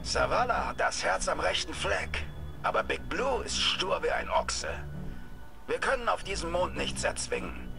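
A wry, folksy schemer from a space game. His gravelly voice sits fairly high and moves around a lot, with a sardonic edge. Loud music plays behind him. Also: heavily acted. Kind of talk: video game voice acting.

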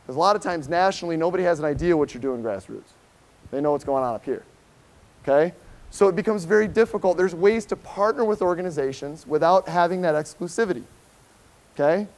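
A middle-aged man with an American accent and a slightly high voice gives a conference talk. He speaks informally and with emphasis, like a professor with a class, often tagging his points with a questioning check-in. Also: a little fast, darkish tone. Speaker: professor giving a conference keynote. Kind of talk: informal public lecture. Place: lecture hall, speaking to a seated audience.

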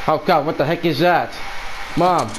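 A man playing an excitable young son in an improvised video game roleplay, blurting out repeated phrases. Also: fairly high pitch, normal speed, lightly acted. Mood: panicked, urgent. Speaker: amateur roleplay player. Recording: loud background noise, amateur game capture.

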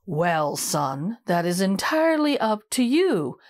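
A wise old broccoli master from a storybook, played by a woman. The voice drops lower than the narration, measured, amused and a little patronising. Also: sing-song.

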